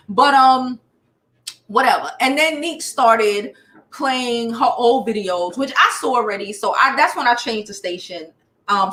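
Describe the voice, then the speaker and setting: A woman's voice: fairly high pitch, sharp tone, roomy sound. An American woman giving casual gossip commentary on a livestream, talking in a sassy, blunt, slangy way. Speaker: YouTube livestream commentator and host.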